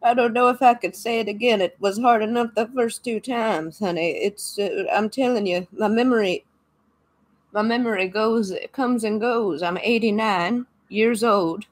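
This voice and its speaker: A woman's voice: on the high side, moderate pace, lightly acted, poor audio. A woman putting on the voice of a forgetful eighty-nine-year-old grandmother who rambles and mishears things. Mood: rambling. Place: small home room, indoors.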